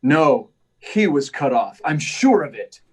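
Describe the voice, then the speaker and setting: A man's voice: on the high side, very animated, slow, slightly rough, heavily acted. A man playing an earnest, determined hero reporter in an old-time radio drama, emphatic and urgent. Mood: concerned, insistent. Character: earnest, determined male reporter, secretly a superhero.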